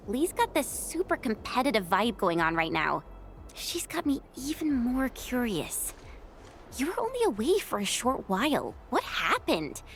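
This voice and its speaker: An actress voicing a chatty, curious young woman, a friendly game companion, in a casual, expressive, musing manner. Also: a little high, slight background noise, exaggerated voice. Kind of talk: scripted game voice acting.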